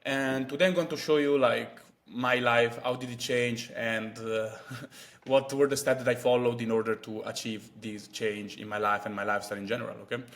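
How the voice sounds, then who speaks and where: A man's voice: medium pitch, fairly even intonation, normal speed, fairly bright tone. A man, chatting casually to camera as a vlogger with many fillers and asides. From Italy. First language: Italian. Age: 20s.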